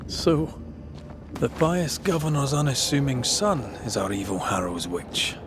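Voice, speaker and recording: An actor playing a rugged, wry ghost hunter in a video game. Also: male voice, medium pitch, very animated, a little slow, noisy background. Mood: wry, purposeful. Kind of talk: dramatic video game acting. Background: game music.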